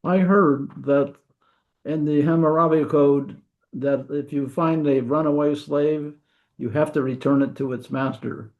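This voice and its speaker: Gravelly-voiced man asking slowly. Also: fairly high pitch, steady tone, narrow, tinny audio. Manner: slow, deliberate questioner. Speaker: student.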